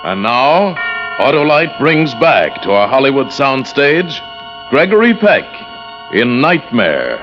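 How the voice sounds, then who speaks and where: A man announcing a radio drama in a measured, dramatic, stately delivery. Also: mid-range voice, sing-song. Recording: dull lo-fi old radio broadcast with music.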